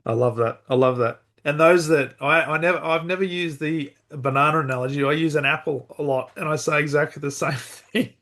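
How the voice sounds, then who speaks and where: A man's voice: a little high, a little fast, few pauses, fairly bright tone. A man hosting a podcast interview, agreeing with his guest and building on the guest's points. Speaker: podcast host.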